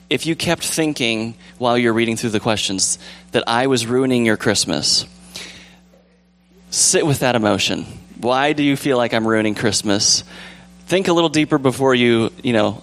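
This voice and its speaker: A young man with a calm, relaxed voice leading a casual church discussion, rambling gently with fillers and restarts. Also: mid-range voice. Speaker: church group leader. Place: church sanctuary with pews.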